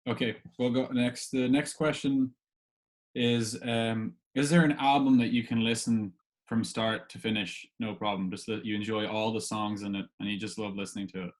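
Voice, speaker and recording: A man hosting a casual interview, asking questions in a hesitant, halting way. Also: lowish voice, fairly even intonation. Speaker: podcast host, interviewer.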